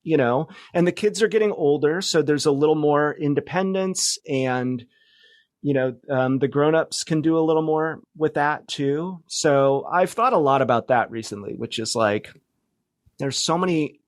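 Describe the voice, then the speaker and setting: A man's voice: mid-range voice, dry recording, clean studio sound. A middle-aged American man chatting casually as a podcast guest, reflective and loosely rambling. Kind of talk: casual conversational interview chat.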